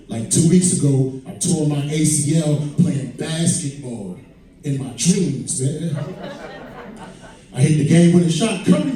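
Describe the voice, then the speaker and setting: Man, forties, stand-up comedy, conversational and profane, mellow voice, heavy room echo, distant mic. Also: on the high side. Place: indoor comedy venue.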